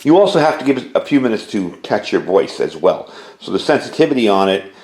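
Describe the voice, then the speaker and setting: A man's voice: lowish voice, sing-song, fast, a little mellow. A man giving a casual, rambling product review as a hobbyist, explaining features in a conversational way. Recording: echoey room with faint background noise.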